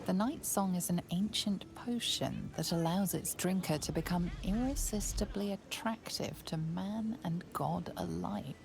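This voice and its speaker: An actress playing a cool, formal lore-teller in a fantasy video game, measured and declarative. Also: female voice, fairly low pitch, vocal fry, noisy background. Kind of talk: video game character acting.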